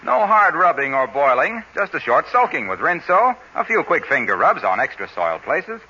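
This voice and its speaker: Male announcer, brisk sales pitch, very bright, lively pitch, dull old radio sound. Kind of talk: radio ad read. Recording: dull old radio broadcast, faint hiss.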